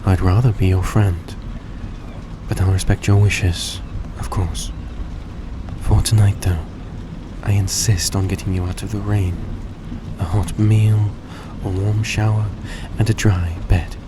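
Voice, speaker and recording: A man playing a courteous, gentle vampire in an intimate audio roleplay, speaking politely and a little hesitantly to the listener. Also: low voice, a little slow. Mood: gentle, earnest. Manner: soft-spoken, polite, hesitant, formal phrasing. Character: courteous, gentle male vampire repaying a debt. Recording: steady background noise behind voice.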